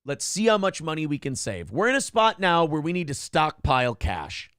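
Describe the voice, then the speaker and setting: A man's voice: on the high side, sing-song, moderate pace, a bit bright, smooth, no room sound, studio quality. A man hosting a casual morning talk show, brash and profane, reading viewer donations aloud and riffing on politics.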